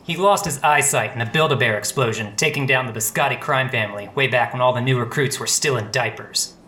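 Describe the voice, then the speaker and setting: A man playing a bright-voiced deadpan noir detective. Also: mid-range voice, slight background noise, lightly acted. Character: hard-boiled, bumbling noir police detective, earnest and wry. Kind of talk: comic noir radio play with narration.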